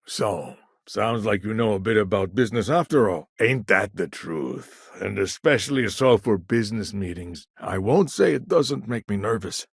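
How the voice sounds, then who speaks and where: A man acting a shrewd, self-assured businessman in a video game, with a wry, smooth-talking manner. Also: on the low side, expressive, normal speed, hardly any pauses, no echo, clean studio sound, full character voice. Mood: wry, teasing.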